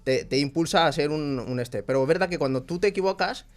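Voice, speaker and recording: A man's voice: on the high side, quick pace. A young man from Spain, speaking as a guest in a casual discussion. He tells stories with animation and acts out what people say. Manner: animated storytelling with laughs and acted-out quotes.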